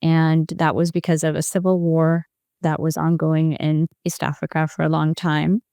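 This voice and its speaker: A woman speaks low and softly, with a gravelly edge and a mellow tone. She tells her story thoughtfully, recorded close and dry. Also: level tone, normal speed, dry recording. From Ethiopia. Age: 40s. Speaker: guest, former psychiatric nurse. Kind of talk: personal storytelling in an interview.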